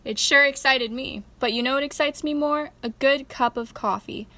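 A woman's voice: high voice, moderate pace, sharp tone. A woman playing a peppy radio show host, presenting from a script.

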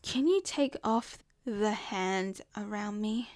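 Woman playing a jealous sweet-but-scheming aussie girl, asmr roleplay, slow talker, really dry close sound. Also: medium pitch, darkish tone, no room sound, natural voice. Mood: suspicious. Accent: Australian.

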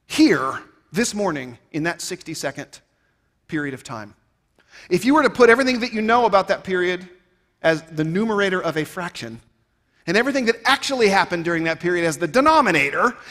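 A man in his thirties giving a keynote speech on stage, emphatic and rhetorical, swinging between loud and quiet phrases, on a headset mic. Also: a little high. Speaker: keynote speaker. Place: stage of a large conference hall. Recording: reverberant large hall.

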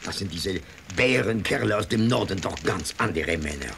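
An actor playing an excitable, indignant French gentleman reporter in a radio play, full of agitated exclamations. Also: deep voice, moderate pace, creaky, a little hiss or hum, exaggerated voice. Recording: music behind the voice.

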